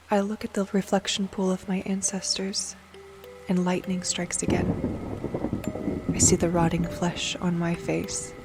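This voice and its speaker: A woman playing a haunted, bitter undead warrior, narrating an audio drama in a hushed, solemn, dramatic manner. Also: lowish voice, low-quality recording. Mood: bitter hatred. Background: music.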